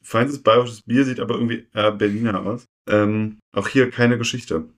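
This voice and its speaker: A young man with a fairly low voice talks casually as a podcast co-host. He tells his stories haltingly, circling back and repeating phrases as he finds his words. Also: steady tone. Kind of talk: casual chat, personal storytelling.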